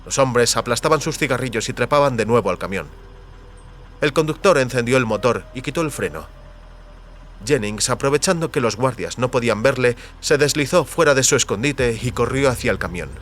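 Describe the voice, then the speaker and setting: A man with a Castilian Spanish accent narrating a dramatized audiobook in a measured, deliberate storytelling manner. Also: medium pitch, expressive, a bit bright, a little hiss or hum. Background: music under the voice.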